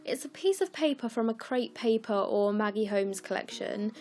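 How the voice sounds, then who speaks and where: A young woman from the UK, croaky and scratchy, chatting through her scrapbooking as a casual voice-over, with lots of ums and apologies. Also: a little high, animated, normal speed, a little mellow, soft background music. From United Kingdom. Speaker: scrapbooking vlogger. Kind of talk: casual process video voice-over.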